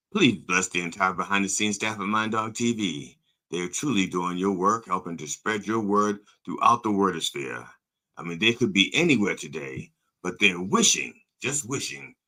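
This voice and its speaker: A hoarse man hosting a comedy podcast as a mock reverend, rambling, profane and interrupting himself. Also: deep voice, sharp tone.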